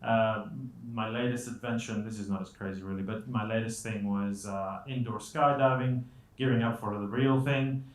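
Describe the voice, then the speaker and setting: A man's voice: on the low side, fairly even intonation, normal speed. A man from Colombia giving a conversational motivational talk to an audience, with self-deprecating humour and rhetorical questions. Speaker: guest speaker. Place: indoor event stage. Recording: echoey room.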